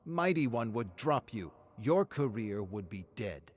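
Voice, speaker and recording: Guy doing a furious scornful lady producer from a dark story, forceful telling-off. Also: medium pitch, sing-song, a little slow. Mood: angry, scornful. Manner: forceful, scolding. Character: angry, scornful, career-driven woman music producer. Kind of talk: acted dialogue in story narration.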